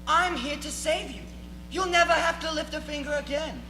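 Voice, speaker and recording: A woman's voice: sits high, normal speed, sharp tone, a little hiss or hum. A woman playing a pompous, vain young male prince with grandiose, declamatory delivery in a comic stage reading. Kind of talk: live readers' theatre comedy. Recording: echoey hall with music behind.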